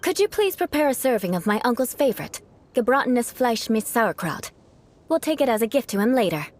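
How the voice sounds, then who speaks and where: A woman voicing a proud, aloof young noblewoman knight who speaks in a cool, formal, deadpan way. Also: a little high, a little fast. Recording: game cutscene with faint music.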